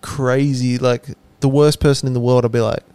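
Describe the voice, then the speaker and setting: A laid-back man co-hosts a podcast. His voice sits at a middle pitch and he drops quick quips. The recording is close and dry. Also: flat delivery, darkish tone, smooth, no echo. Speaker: podcast co-host. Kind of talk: casual chat.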